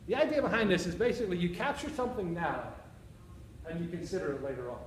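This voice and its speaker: A man's voice: high-pitched, expressive, quick pace, a little hiss or hum. A man with a Northern Irish accent giving a conference talk to teachers, joking and often checking in with "all right?" and "okay?". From Northern Ireland. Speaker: presenter. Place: conference room. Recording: echoey room, faint ambience.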